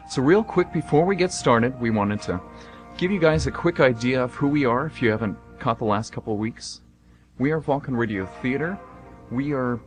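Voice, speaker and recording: A man with a medium-pitched voice works as radio host and narrator. He presents the show and reads scripted stage narration. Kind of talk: hosting and reading scripted narration.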